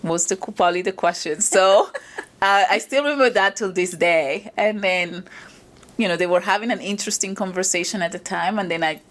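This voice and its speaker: A woman's voice: mid-range voice, normal speed, fairly bright tone. A woman from Venezuela, a guest in an interview, telling stories about her upbringing with frequent restarts. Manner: storytelling, frequent restarts and "you know". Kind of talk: conversational interview.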